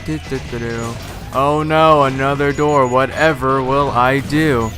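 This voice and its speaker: A slightly hoarse man giving casual, rambling commentary over a video game playthrough, with frequent filler words. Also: mid-range voice, fairly even intonation, measured pace. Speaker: let's play host. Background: game music.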